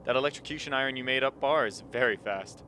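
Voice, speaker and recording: A man's voice: medium pitch, quick pace, pushed and bright, lightly acted. An actor playing a grim, suspicious man at an isolated polar camp in a radio play, terse and wary. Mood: grimly amused. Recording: faint background noise.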